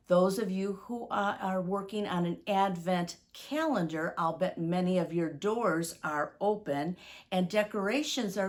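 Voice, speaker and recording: A woman, speaking deliberately and expressively to young children as a story-time teacher. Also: mid-range voice. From United States, Midwest.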